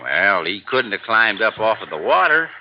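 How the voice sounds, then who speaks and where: A man's voice: fairly low pitch, very animated, normal speed. An actor playing a folksy, stubborn old desert fisherman in a 1950s radio drama, drawling and hesitant, heard through a dull old recording.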